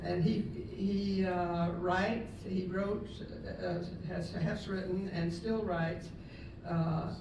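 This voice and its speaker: Woman host, gravelly, halting intro; far-off roomy recording with noise. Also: lowish voice, dull audio. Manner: halting, many fillers and restarts. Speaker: program host introducing the speaker. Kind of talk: casual spoken introduction. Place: community meeting room. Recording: echoey hall, far from mic, noisy.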